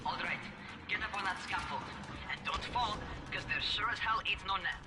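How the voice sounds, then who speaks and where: An actor voicing a cocky young survivor who coaches in a video game, brash and slangy. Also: male voice, sits high, moderate pace, recorded from a distance, over loud music, natural voice. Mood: instructing, impressed. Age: young adult. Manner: brash, slangy, barking instructions. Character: cocky young male survivor parkour trainer, teasing. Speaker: parkour trainer character in a video game. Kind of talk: video game dialogue acting.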